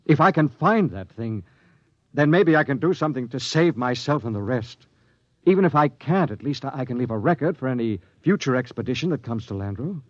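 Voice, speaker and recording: An actor playing a driven expedition captain who narrates a 1950s radio drama in an earnest, urgent voice. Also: male voice, mid-range voice, animated, brisk, no echo, natural voice. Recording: dull vintage radio with music.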